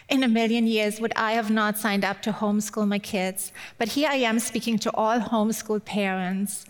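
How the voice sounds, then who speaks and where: A middle-aged woman from Germany with a German accent, giving a personal, lightly humorous church sermon as a guest speaker. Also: on the high side. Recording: long hall-like reverb.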